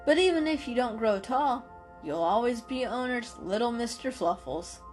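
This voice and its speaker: A woman's voice: on the high side, animated, moderate pace, darkish tone, faint music behind, voice pushed up, lightly acted. A woman voicing a friendly, reassuring grown male cat who chats and explains kindly in a storybook reading. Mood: fond, assured.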